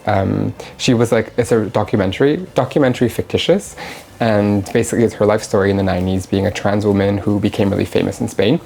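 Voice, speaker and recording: A woman in her thirties tells her story in a relaxed, candid way, laughing easily. She grew up in Canada after a start in Mexico. A faint hum of ambience sits under her voice. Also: rumbling low. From Mexico, raised in Canada. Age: 30s. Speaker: interview guest, drag performer. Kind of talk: casual interview. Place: her home.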